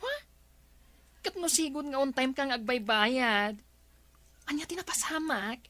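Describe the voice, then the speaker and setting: An actress with an Ilocano accent playing a chatty, nosy village friend in a radio comedy drama. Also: female voice, sits high, animated, measured pace, sharp tone, a little hiss or hum, full character voice. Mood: chatty teasing.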